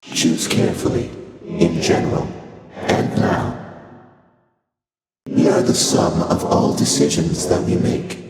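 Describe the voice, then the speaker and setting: An actor playing an ancient, otherworldly primordial deity in a video game, speaking formally and aloofly with dry amusement through a heavy reverb effect. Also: male voice, low-pitched. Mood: solemn, formal. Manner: formal, archaic, deliberate, aloof phrasing. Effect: heavy otherworldly echo reverb. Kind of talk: video game character voice lines. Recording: cavernous long reverb, loud music behind.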